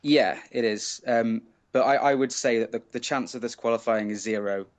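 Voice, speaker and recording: A man on a panel giving animated, emphatic opinions, often repeating words for stress. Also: mid-range voice, moderate pace. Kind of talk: opinionated music commentary.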